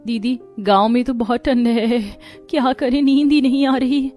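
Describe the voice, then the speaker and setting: A woman voicing young, expressive newlywed sisters in dramatized story dialogue. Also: on the high side, moderate pace, soft background music, natural voice. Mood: complaining.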